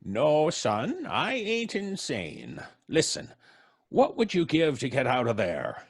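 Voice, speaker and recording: A folksy old country codger in an old-time radio play, gloating and merciless. His husky voice drawls slowly through rustic dialect and swings widely in pitch. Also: wildly swooping pitch, exaggerated voice. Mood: sly bargaining. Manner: drawling, chuckling rural dialect.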